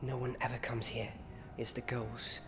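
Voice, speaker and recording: A man playing an anxious, urgent young wizard student in an ASMR fantasy roleplay, hushed and halting, with clear noise in the recording. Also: medium pitch, flat delivery, close to an everyday voice.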